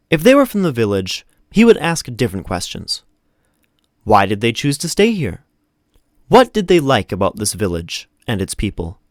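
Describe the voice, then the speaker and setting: Man, storybook narration, animated intonation, expressive delivery, dry sound. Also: medium pitch, no echo. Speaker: audiobook narrator.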